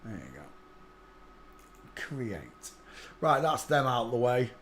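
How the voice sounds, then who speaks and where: Slow, rambling man. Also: medium pitch, lively intonation, fairly bright tone, slight background noise. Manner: mutters to himself while working, trails off into asides. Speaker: livestream host. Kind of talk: rambling live commentary on photos.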